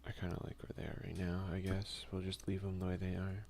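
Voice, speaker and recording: A man's voice: low-pitched, monotone, quick pace, creaky, darkish tone, slight background noise. A man hosting a casual ASMR let's play, talking informally with muttered slangy asides and reading game text aloud. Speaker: gaming video host. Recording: music behind the voice.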